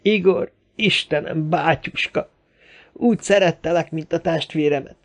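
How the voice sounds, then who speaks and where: A man's voice: a little high, vocal fry, pitched above the performer's own voice. A man voicing a hot-headed, grieving Russian gunman in an audiobook, ranting and threatening in exclamations. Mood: grieving, vengeful.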